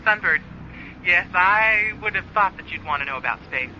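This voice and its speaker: A woman's voice: lowish voice, lively intonation, normal speed, sharp tone, a little hiss or hum. A woman playing a friendly, candid space communications officer in a radio play, chatty and a little apologetic over a radio link. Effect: radio transmission filter. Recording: dull band-limited radio link, music behind.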